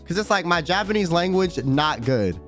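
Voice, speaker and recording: Animated slangy young man streamer. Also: fairly high pitch, moderate pace. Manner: slangy, rambling, reads chat aloud. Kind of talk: casual late-night chat stream. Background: faint background music.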